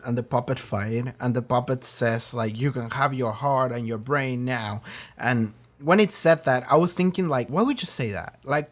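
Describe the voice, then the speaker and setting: A man talking casually and off the cuff as a reaction host, rambling in an animated way with frequent swearing and acted-out asides. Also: medium pitch. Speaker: reaction video host and streamer. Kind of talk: casual off-the-cuff episode discussion.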